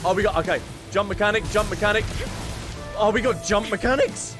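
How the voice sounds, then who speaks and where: A man giving live gameplay commentary as a streamer, excitable and often repeating his words in quick bursts. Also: sits high. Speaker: gaming streamer. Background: loud game music.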